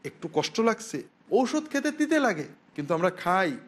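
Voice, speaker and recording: A man's voice: high voice, sing-song, moderate pace. A man preaching as an Islamic scholar, explaining emphatically to viewers. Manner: emphatic, explanatory, with rhetorical questions. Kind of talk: religious sermon to viewers, with Arabic quotations.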